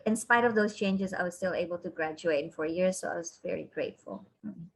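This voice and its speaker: A woman who is a university counselor, giving measured, thoughtful and encouraging answers in an interview. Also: lowish voice, husky, rough recording.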